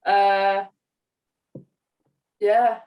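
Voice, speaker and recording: A woman's voice of average pitch, sitting back from the microphone. She co-hosts a podcast in loose, casual chat, hesitant and quick to put herself down. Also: flat delivery, dull audio. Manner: self-deprecating, hesitant. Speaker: podcast co-host. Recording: voice distant from the mic.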